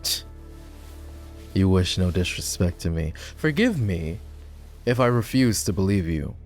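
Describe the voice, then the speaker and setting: A man playing a proud, bitter lowborn knight and war hero in a dramatic fantasy roleplay audio, with a sardonic, scornful manner. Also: lively intonation, measured pace, darkish tone, faint music behind. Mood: defiant, scornful. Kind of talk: fantasy roleplay ASMR voice acting.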